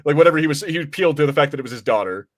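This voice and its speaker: A man co-hosting a podcast, talking through movies casually in a halting, conversational way with some swearing. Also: on the high side, lively intonation, no echo, studio quality. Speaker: podcast co-host. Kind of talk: casual movie review chat.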